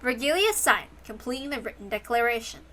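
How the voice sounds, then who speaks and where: A woman's voice: on the high side, animated, brisk, sharp tone, a little hiss or hum. A woman reading a story aloud as scripted narration. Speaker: reader.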